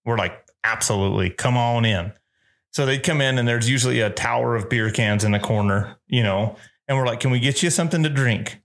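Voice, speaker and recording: A man's voice: medium pitch, a little fast, gravelly, fairly bright tone. A man hosting a casual interview, asking rambling questions and joking between them. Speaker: podcast host.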